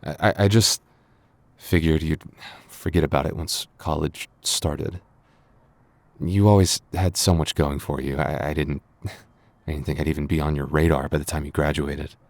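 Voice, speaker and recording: A man's voice: a bass voice, level tone, moderate pace, darkish tone, no echo. A man playing a flustered, lovestruck young man in a boyfriend roleplay audio, stammering and bashful. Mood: earnest, shy. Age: young adult. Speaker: voice actor.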